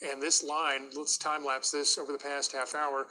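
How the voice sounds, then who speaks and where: Man, TV weather forecaster, bright urgent-edged tone, slightly high register, live warnings. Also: little pitch movement, normal speed, poor audio. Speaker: TV meteorologist. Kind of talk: live severe weather commentary. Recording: broadcast audio with faint noise.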